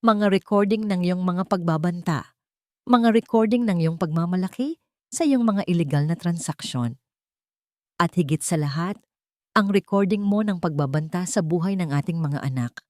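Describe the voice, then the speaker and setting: A woman's voice: lowish voice, moderate pace, a little mellow, no echo, clean studio sound, natural voice. A woman voicing a wronged, newly fearless wife in an audio story, firm and confronting, with a Filipino accent. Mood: cold resolve.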